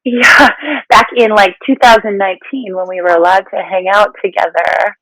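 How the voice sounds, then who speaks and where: A woman's voice: medium pitch, normal speed, fairly bright tone, slight background noise, low-quality recording. A woman hosting a casual conversational interview in an effusive, encouraging manner. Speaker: podcast host.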